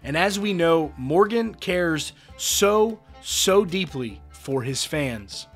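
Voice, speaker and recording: Upbeat male news host, scripted voice-over, slightly high voice, soft music underneath. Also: lively intonation, takes it slowly, slightly rough. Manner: upbeat, reads quotes aloud. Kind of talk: scripted entertainment news narration.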